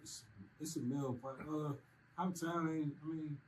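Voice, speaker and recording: A man's voice: on the high side, fairly even intonation, measured pace, darkish tone, slight background noise, low-quality recording. A man from Kentucky chatting casually in an interview, easygoing and loose, often asking "you know what I'm saying".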